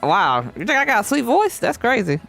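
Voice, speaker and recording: Lively, chatty woman streamer. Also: on the high side, brisk, vocal fry, soft background music. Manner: chatty, reads chat aloud, slangy and playful. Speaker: VTuber streamer. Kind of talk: casual live-stream chat while gaming.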